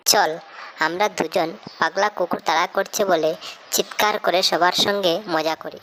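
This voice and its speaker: A man's voice: high-pitched, fairly even intonation, a little slow, sharp tone, a little hiss or hum, voice pushed up, poor audio. A man voicing a mischievous young village boy in a cartoon story, calling out in exclamations. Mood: playful, scheming.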